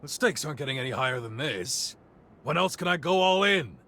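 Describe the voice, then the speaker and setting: An actor playing a cocky, thrill-seeking fighter in a game cutscene, exuberant and theatrical. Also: male voice, on the high side, animated, brisk, pushed and bright, exaggerated voice. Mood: boisterous. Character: brash, thrill-seeking warrior buddy, boisterous and cocky. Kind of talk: dramatic game cutscene dialogue.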